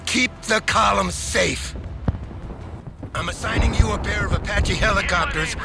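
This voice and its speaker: An actor playing a gung-ho, authoritative American general giving emphatic orders in a military strategy game. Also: male voice, sits high, measured pace, creaky, over music. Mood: urgent commanding. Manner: commanding, emphatic.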